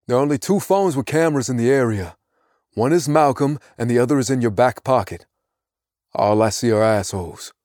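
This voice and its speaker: A man voicing a mysterious disembodied helper on a phone, calm, precise and matter-of-fact, in an audio drama. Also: mid-range voice, brisk, no echo, studio quality, voice pushed up, natural voice. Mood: wry.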